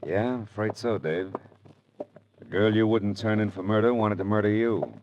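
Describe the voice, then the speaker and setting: An American actor playing a hardboiled, world-weary private detective in 1940s radio drama style, clipped and colloquial. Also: male voice, lowish voice, steady tone, normal speed, slight background noise, close to an everyday voice. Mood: wry, grim. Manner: clipped, colloquial tough-guy delivery. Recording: dull old radio recording, music behind.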